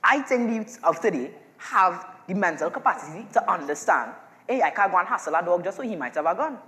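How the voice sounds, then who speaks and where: A low-pitched Trinidadian woman played by a stage actress in a reverberant hall. She argues eagerly and earnestly, swooping through her lines and butting in as in a live play. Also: brisk. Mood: earnest, persuasive. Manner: interjecting, animated. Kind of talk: community stage play acting.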